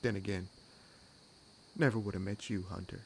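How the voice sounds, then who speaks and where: Over a soft bed of night-forest insects comes a low, gravelly male vampire acted by a man. He is wry and world-weary, needling his companion with sardonic teasing. The delivery is intimate and unhurried, in the manner of an audio roleplay. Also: steady tone, slight background noise, low-quality recording. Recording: nature ambience laid under voice. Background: forest ambience with crickets and insects.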